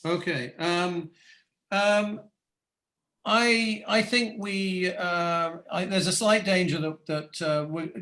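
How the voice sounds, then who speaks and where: A man's voice: sits high, steady tone, takes it slowly, bright, forceful tone. A man hosting an online interview, hesitant, with many restarts and fillers. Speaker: interview host. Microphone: video call.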